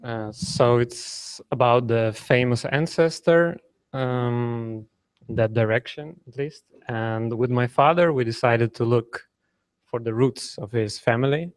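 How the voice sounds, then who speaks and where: A young man from Ukraine, a doctoral student, telling a family story haltingly with many fillers and restarts. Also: medium pitch, smooth, dry recording. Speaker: workshop participant. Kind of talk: hesitant personal storytelling.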